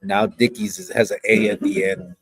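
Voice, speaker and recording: A middle-aged man hosting a casual livestream podcast, with a raspy, gravelly voice and a blunt, profane, streetwise way of talking. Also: on the low side, very animated, moderate pace, a bit bright, no room sound. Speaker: podcast host.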